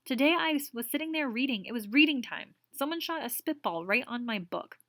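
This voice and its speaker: A woman putting on a worn-out middle-aged schoolteacher, her voice raised higher and louder than plain speech as she pleads. She sounds near tears and emotional, close to a dry microphone. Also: lively intonation, brisk, no room sound. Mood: distressed. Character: weary middle-aged female teacher, distraught.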